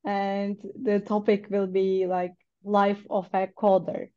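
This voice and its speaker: A woman's voice: medium pitch, flat delivery, slow. A woman attending a webinar, asking a question hesitantly with fillers over a video call. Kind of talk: casual question. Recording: dull lo-fi call audio.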